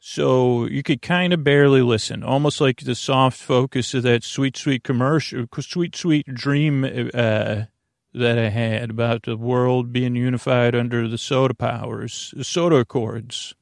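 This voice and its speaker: A middle-aged American man speaks in a creaky, gravelly voice at an ordinary male pitch. He meanders through a bedtime podcast monologue, halting, repeating words and drifting off mid-thought. The sound is dry, with no room echo. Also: steady tone. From United States. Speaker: podcast host.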